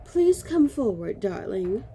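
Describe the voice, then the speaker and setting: A woman playing a sly, composed female elf with a measured, knowing manner in a fantasy audio drama. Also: on the high side, very animated, mellow, slight background noise. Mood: smugly amused. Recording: faint background ambience.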